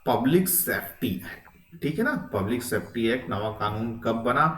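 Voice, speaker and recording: A man's voice: mid-range voice, animated, normal speed, fairly bright tone. A man giving an informal exam-prep lecture, repeating key points and checking in with rising tags. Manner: instructive, repetitive, frequent rising check-in tags. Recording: echoey room, distant microphone.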